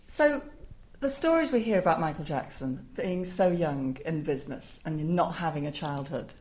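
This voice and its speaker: A woman's voice: low-pitched, expressive, moderate pace, darkish tone. A woman working as an interviewer, asking questions in a conversational style.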